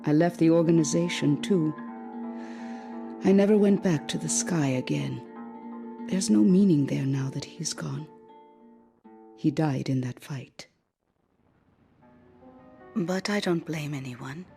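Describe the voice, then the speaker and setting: A woman's voice: deep voice, slow pace, vocal fry, long pauses, exaggerated voice. Wistful, subdued female ex-fighter pilot. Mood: quiet grief. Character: wistful female former fighter pilot mourning her flight lead. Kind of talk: dramatic interview-style monologue in a video game. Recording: music behind the voice.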